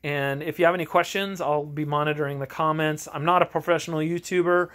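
A man's voice: a little high, fairly even intonation, fairly quick, a bit bright. A man giving a casual, rambling game review to camera in an earnest, conversational, enthusiastic manner, recorded in his home garage. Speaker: amateur tabletop game reviewer and host.

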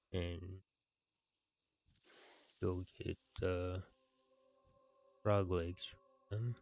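A man in his thirties narrating a game, reading quest text aloud in a measured, deliberate way. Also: low voice, gravelly, a little mellow, slight background noise. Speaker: gaming video narrator. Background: video game music.

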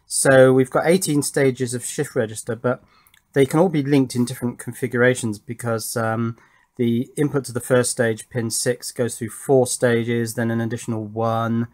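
Male tutorial presenter, medium pitch, thinks aloud methodically, unscripted technical delivery. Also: level tone, normal speed, darkish tone. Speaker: presenter of electronics tutorial channel. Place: indoors at a workbench.

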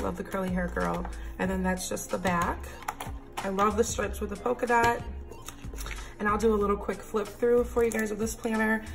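A woman in her thirties with a raspy, slightly deep morning voice, chatting enthusiastically while flipping through planners at home. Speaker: planner vlogger. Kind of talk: casual haul and flip-through.

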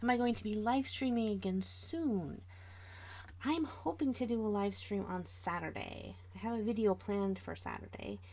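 A woman's voice: medium pitch, sing-song, normal speed, dark tone, slight background noise. An American woman of about forty chatting casually and informally to viewers as the host of an art livestream. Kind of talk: casual livestream chat while drawing.

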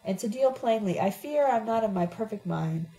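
A woman's voice: medium pitch, expressive, brisk, dark tone, lightly acted, low-quality recording. A woman voicing an intense, theatrical young woman who declaims dramatically in an audiobook. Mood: theatrical pleading.

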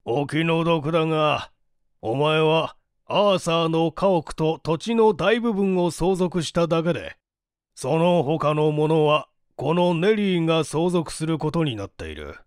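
A man voicing a firm older uncle in a storybook, speaking in a blunt, measured, familiar manner. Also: on the high side, lively intonation, clean tone, no echo, studio quality.

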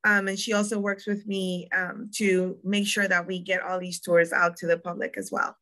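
A woman's voice: on the low side, level tone, moderate pace, pushed and bright. A young woman from Puerto Rico with a Puerto Rican accent, presenting a virtual museum tour in a chatty way, with frequent ums and restarts. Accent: Puerto Rican Spanish.

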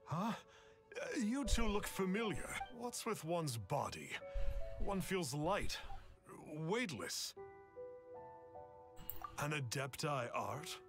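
A man's voice: on the high side, very animated, takes it slowly, long pauses, over music, full character voice. A man voicing a pompous, deluded impostor posing as an immortal sage in a video game, speaking in a haughty, theatrical and stilted way. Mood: puzzled, pompous.

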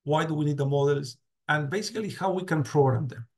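Guy teaching on a call, slightly high voice, keeps asking got it. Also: fast. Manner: many restarts, asks "got it?" and "do you agree?" often. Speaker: university lecturer. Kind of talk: online lecture over video call.

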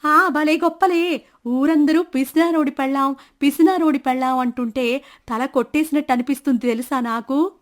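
Miser's whining wife acted by a female storyteller, high and reproachful, for a village storybook tale. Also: steady tone, normal speed, natural voice. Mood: exasperated. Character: exasperated complaining wife of a miser. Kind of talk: dramatised moral story.

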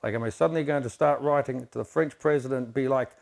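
A man's voice: mid-range voice, fast, gravelly, darkish tone. An Irish man in his fifties giving a dramatic reading from a book. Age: 50s. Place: indoor lounge on sofa.

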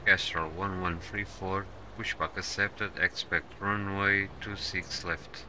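Male game streamer, deep register, controller phraseology plus asides, over clearly audible engine-like background noise. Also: flat delivery, slow pace. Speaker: streamer playing an air traffic control simulator. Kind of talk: gaming stream commentary with controller radio phraseology.

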